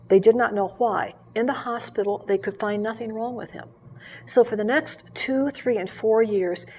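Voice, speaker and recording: An older woman as an interview guest, telling her life story in an earnest, measured way, with fillers and restarts. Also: medium pitch, darkish tone, a little hiss or hum. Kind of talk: reflective personal storytelling. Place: local TV studio.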